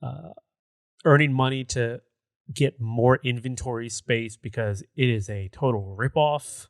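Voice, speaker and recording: A man's voice: medium pitch, measured pace, no echo, clean studio sound. A man co-hosting a gaming podcast, chatting casually in a conversational, rambling way. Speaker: podcast co-host.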